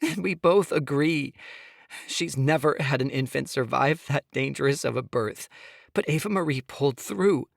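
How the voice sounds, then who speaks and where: A man's voice: medium pitch, moderate pace, vocal fry, dry recording, studio quality, pitched above the performer's own voice, close to an everyday voice. A frightened young mother pleading through tears, as a male narrator puts her on. The voice is lifted well above the reader's own and pushed hard with strain. It is a storybook figure, anxious and despairing. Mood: pleading tearful.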